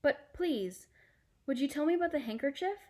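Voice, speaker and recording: A woman's voice: high voice, animated, moderate pace, warm tone, voice pushed up. A woman voicing an earnest, curious young girl in a storybook, eager and questioning.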